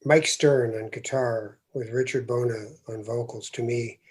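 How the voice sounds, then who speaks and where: A man hosting a podcast interview, speaking reflectively and growing animated as he makes his points, with easy laughs. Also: mid-range voice, a little slow, slightly rough. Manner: thoughtful, animated when making a point, laughs easily. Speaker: podcast host.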